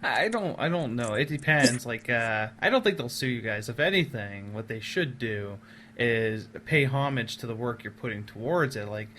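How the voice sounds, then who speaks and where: A man's voice: medium pitch, animated, moderate pace, sharp tone. Effusive male podcast host, noisy background. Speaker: podcast host interviewing guests. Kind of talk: casual podcast chat.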